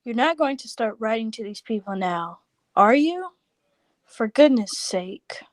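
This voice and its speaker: Brisk, disapproving housewife sister played by a woman, raised register, exasperated radio-play scolding, very dry recording. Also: fairly high pitch, animated, no echo. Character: bossy, disapproving, practical married sister, busy mother. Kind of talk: 1940s radio play acting.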